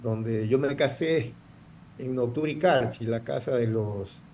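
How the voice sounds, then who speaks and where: A man's voice: mid-range voice, slow, warm tone, a little hiss or hum. A man, telling his story in an interview, rambling with many restarts. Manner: rambling, full of restarts and fillers. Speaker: guest entrepreneur.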